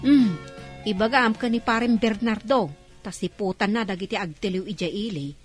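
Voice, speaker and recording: A woman's voice: mid-range voice, moderate pace, soft background music, lightly acted. A woman playing a practical, mildly irritated village wife with an Ilocano accent in a comedy radio drama. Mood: cautioning.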